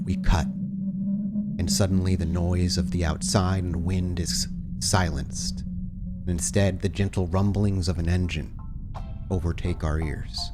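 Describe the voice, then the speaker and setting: A man speaking as a podcast host and game storyteller, with an informal delivery. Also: sits low. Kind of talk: casual podcast chat, then slow scene narration for a role-playing game.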